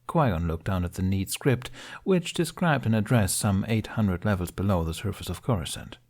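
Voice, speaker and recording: A man narrates a dramatic audiobook from a script. His voice sits somewhat low, and he reads in a storytelling style. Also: animated, normal speed, darkish tone.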